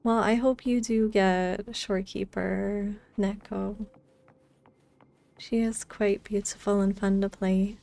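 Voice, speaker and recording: Slow, mellow-voiced woman chatting on stream. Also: medium pitch, flat delivery, vocal fry. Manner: friendly, greets viewers by name. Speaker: live streamer. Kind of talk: casual chat with viewers while gaming. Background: game music.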